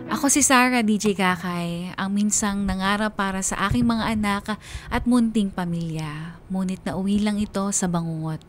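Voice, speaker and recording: A regretful mother telling her own story in a radio drama, voiced by a woman. She has a medium-pitched voice and an earnest, confessional delivery, with faint music underneath. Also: steady tone, moderate pace, close to an everyday voice. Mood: regretful, reflective. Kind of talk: dramatic first-person radio narration.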